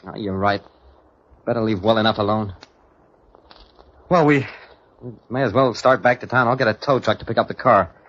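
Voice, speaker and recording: A man's voice: on the low side, normal speed, long pauses, a bit bright. An actor playing an earnest, flustered man insisting on his story in an old radio drama, pleading and hesitant. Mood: puzzled. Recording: muffled, noisy old radio recording.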